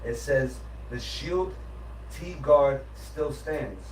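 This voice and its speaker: A man's voice: mid-range voice, very slow, a little mellow, recorded from a distance. A man in casual podcast chat, explaining his AI system in an emphatic, insistent way, often restarting his sentences. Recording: roomy, off-mic, faint background noise.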